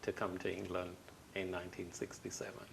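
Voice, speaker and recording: An older man, answering as a guest in an interview and telling his story in a measured, reflective way. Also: sits low, monotone, creaky, a bit bright. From Trinidad.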